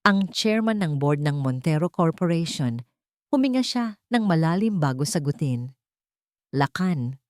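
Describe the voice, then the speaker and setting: A woman's voice: deep voice, expressive, normal speed, a little mellow, no echo, studio quality. A woman giving a dramatic scripted narration for an audio drama.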